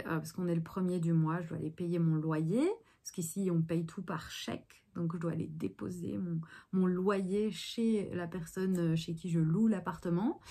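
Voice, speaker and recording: Chatty woman, lowish voice. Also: darkish tone, husky. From Belgium. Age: 30s. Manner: chatty, rambling with many fillers. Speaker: actress vlogger. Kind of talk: casual daily vlog.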